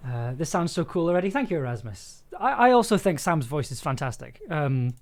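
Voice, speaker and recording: Halting, enthusiastic male livestream host. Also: a little high, very animated. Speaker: livestream host, audio drama producer. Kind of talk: casual livestream chat.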